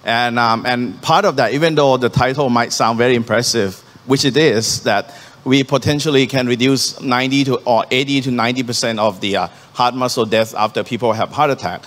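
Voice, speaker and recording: A man from Thailand, a Thai native speaker, giving a startup conference talk on stage into a handheld mic, halting and earnest, with many ums and restarts. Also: medium pitch, fairly bright tone. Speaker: startup founder presenting on stage. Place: conference venue hall. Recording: hall reverb from a live venue.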